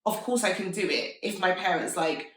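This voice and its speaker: A woman's voice: fairly low pitch, normal speed, fairly bright tone. A woman in her thirties, an entrepreneur talking casually and upbeat in an interview, full of "like"s. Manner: chatty, many filler "like"s, upbeat. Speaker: interview guest, fashion entrepreneur. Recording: roomy echo, mic at a distance.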